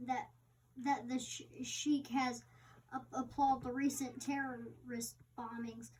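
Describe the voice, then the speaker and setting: A woman reading an interviewer's part aloud, halting and stumbling over words. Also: high-pitched, level tone, a little mellow. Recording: distant microphone.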